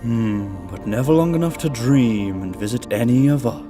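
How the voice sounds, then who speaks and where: A man's voice: medium pitch, expressive, normal speed, natural voice. A man playing a drowsy, laid-back god of sleep who teases his brother in a languid, casual way in an audio drama. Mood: drowsy teasing. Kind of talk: audio drama character acting. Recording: voice over background music.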